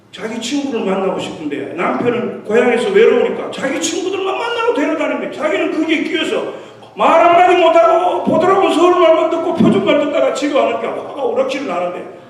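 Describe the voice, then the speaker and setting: A middle-aged man tells stories from the pulpit in an animated sermon, his voice rising and falling widely and sitting a little high. He sounds far from the microphone, and the church hall gives him a heavy, long echo. A faint hiss runs underneath. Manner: animated, anecdotal, mixes English terms. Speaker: guest revival pastor. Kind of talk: storytelling sermon. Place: church sanctuary.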